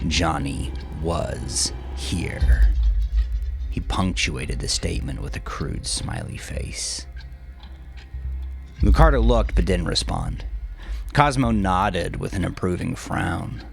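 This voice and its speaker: A man narrating a dark audiobook in a deliberate, dramatic, grim manner. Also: low-pitched, sing-song, creaky, faint music behind.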